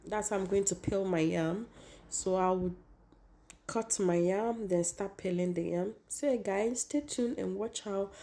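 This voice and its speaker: Hoarse woman, casual cooking host, warm low-bright timbre, talks straight to viewers. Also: measured pace. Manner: chatty, repeats herself, addresses viewers as guys. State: hoarse, losing her voice.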